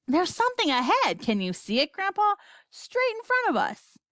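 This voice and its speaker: An eager young boy from a storybook, voiced by a woman, earnest and urgent. The voice is high and bouncy, recorded dry. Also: big pitch swings, moderate pace, no echo. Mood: excited. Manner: urgent, crying out.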